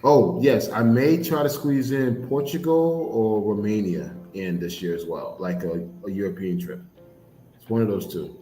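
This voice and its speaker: Laid-back low-voiced man in an echoey room. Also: normal speed, soft background music. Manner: relaxed storyteller, restarts with repeated words. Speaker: podcast co-host. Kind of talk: casual chat.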